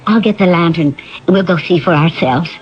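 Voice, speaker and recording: A woman's voice: fairly low pitch, normal speed, breathy edge, soft background music. An actress playing a composed, knowing young typist and assistant in her twenties in a radio drama. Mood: composed, intrigued. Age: young adult. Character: helpful, self-assured female typist and assistant, twenties. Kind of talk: 1970s radio drama acting. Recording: dull 1970s radio broadcast recording.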